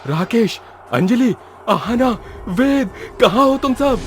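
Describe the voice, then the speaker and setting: A man's voice: sits high, lively intonation, a little slow, slight background noise. An actor playing a frightened young man in a horror story, speaking in an urgent, exclamatory way. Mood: panicked. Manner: exclamatory, urgent, panicky delivery. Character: frightened young man trapped in a haunted maze. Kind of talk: dramatised horror story acting. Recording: music under the voice.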